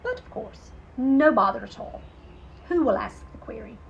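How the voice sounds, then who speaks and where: A woman voicing a wise, courteous old male owl in a storybook, solemn and deliberate like a vicar. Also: on the high side, expressive, gravelly, muffled recording.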